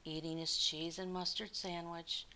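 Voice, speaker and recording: A woman's voice: lowish voice, unhurried. An older woman, in her sixties or beyond, speaking expressively and kindly to young children as a storyteller. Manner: animated, addressing young listeners kindly. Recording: hissy background noise.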